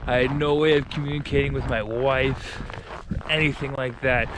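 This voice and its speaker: A young man with a loud voice talks casually as he walks his dog outside, wandering off and restarting his sentences. His pitch sits in an ordinary middle range. Outdoor noise and passing cars are clearly heard behind him. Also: fairly even intonation, normal speed. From Minnesota. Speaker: real estate agent. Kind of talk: walking vlog talking to camera.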